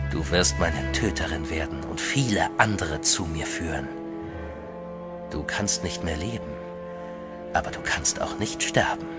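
Man doing a demonic vampire master, very low voice, cold and commanding, music bed. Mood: menacing. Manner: cold, domineering, slow deliberate commands. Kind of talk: radio play acting.

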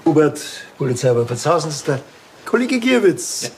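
Creaky, laconic male detective. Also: a little high, big pitch swings, moderate pace, noisy background. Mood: dry, businesslike. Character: laconic, deadpan middle-aged male police detective.

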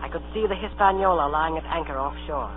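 A man's voice: fairly high pitch, brisk, natural voice. An actor playing a proud, plucky boy adventurer, telling his tale earnestly in old radio drama style. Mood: earnest, suspenseful. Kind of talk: 1930s radio drama acting. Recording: tinny old radio broadcast, music, hum.

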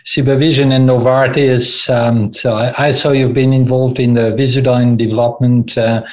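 A man's voice: medium pitch, level tone, normal speed, darkish tone. A man in his fifties from France, speaking English with a French accent as an interview guest on a video call from his office, often repeating words and laughing between phrases. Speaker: company CEO guest. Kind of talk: conversational interview. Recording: slightly dull video call audio.

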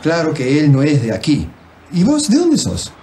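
A man's voice: a little high, huge pitch swings, normal speed, mellow. A talkative man in his forties from Buenos Aires, voiced by an actor, who says vos and che, with a Buenos Aires Spanish accent. His voice swings widely up and down as he chats away in this audiobook. A faint hiss sits under the recording. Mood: chatty. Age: 40s. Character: chatty porteño man, psychologist and tango pianist. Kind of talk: audiobook character reading.

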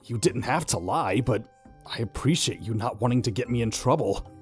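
A man voicing a flustered, kindly, awkward young man with an expressive delivery in an animated roleplay. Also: mid-range voice, moderate pace, lightly acted. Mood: kindly, coaxing. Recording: background music under dialogue.

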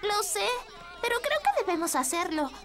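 A woman voicing a sweet, helpful little girl in a cartoon, gentle and tactful. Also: very high-pitched, big pitch swings, normal speed. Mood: tactful, hesitant. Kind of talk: animated cartoon dub acting.

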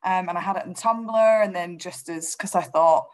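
A woman's voice: on the low side, normal speed. A woman in her thirties with a Northern Irish accent, speaking fluently and encouragingly as a panelist on a video call. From Northern Ireland. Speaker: radio panelist. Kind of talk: conversational answer on a panel.

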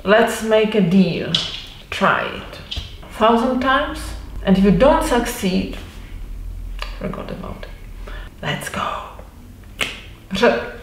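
A woman in her forties whose first language is Czech, teaching pronunciation to camera and demonstrating sounds slowly and reassuringly. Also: medium pitch, expressive, a little hiss or hum. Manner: slow, deliberate, reassuring, repeats sounds to demonstrate. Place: indoor room with wooden door and bookshelf. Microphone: fixed camera at mid distance. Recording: roomy echo.

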